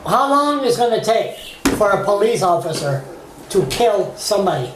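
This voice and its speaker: A man's voice: high-pitched, sing-song, moderate pace, background noise. An argumentative man conducts a casual street-style debate as interviewer. He cuts in, repeats himself and pushes back bluntly, and the sound is roomy and echoey.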